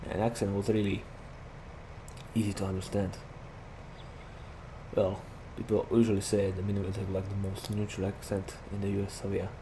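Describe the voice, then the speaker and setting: A man's voice: fairly low pitch, monotone, moderate pace, long pauses, dark tone, low-quality recording. A young man from Slovakia with a Slovak accent, chatting casually with many "like" fillers while commenting on his gameplay. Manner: rambling, chatty, heavy use of "like" fillers. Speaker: gaming YouTuber. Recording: game vehicle noise behind voice.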